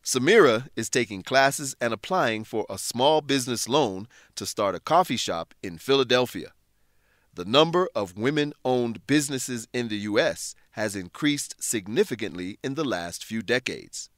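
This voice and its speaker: A male teacher narrates a scripted English lesson. His medium-pitched voice has very varied intonation, and he explains things in a clear, deliberate way. The recording is dry and free of room sound. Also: lively intonation, a bit bright, dry recording.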